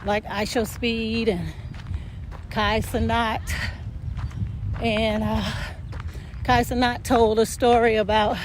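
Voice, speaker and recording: An older woman chatting casually while walking uphill outdoors, a bit hoarse and winded, rambling with lots of fillers. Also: a little high, level tone, takes it slowly, long pauses, a little hiss or hum. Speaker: vlogger. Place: outdoors in a park field.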